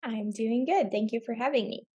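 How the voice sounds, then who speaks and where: A young woman from Brazil, a guest on a podcast, answering thoughtfully with frequent pauses and "um"s. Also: a little high, dark tone. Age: young adult. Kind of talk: casual interview.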